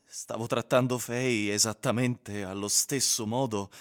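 An actor playing an infatuated, brooding husband in a radio drama, with an introspective, literary way of talking. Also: male voice, lowish voice, fairly even intonation, a little slow, close to an everyday voice. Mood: brooding, wistful. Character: infatuated, brooding husband recalling his obsession. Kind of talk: radio drama monologue and dialogue.

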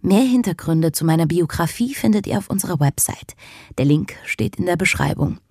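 A woman's voice: fairly low pitch, lively intonation, normal speed, noisy background. A woman reading a scripted podcast outro in the first person, as the series' heroine. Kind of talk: scripted narration.

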